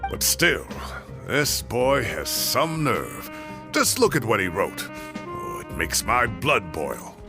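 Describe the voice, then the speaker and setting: An actor playing a kindly, fussy old professor in a video game, with a gravelly, aged, grandfatherly voice. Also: on the low side, slow pace, music in the background. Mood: indignant. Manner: grandfatherly, expressive, indignant at times.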